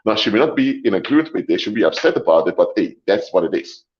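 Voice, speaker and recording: A man hosting a livestream, lecturing deliberately and emphatically, repeating his points and closing them with short clinchers. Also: fairly low pitch, big pitch swings, a little mellow, muffled recording. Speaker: livestream host. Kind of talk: lecturing monologue to a live audience.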